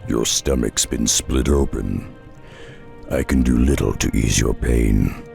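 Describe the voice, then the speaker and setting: An actor playing a world-weary, brooding half-orc, half-ogre warrior in a video game, speaking slowly and solemnly in a gravelly voice. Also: male voice, extremely low pitch, a little mellow, exaggerated voice. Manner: brooding, solemn, slow-spoken. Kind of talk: video game cutscene acting. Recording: music behind the voice.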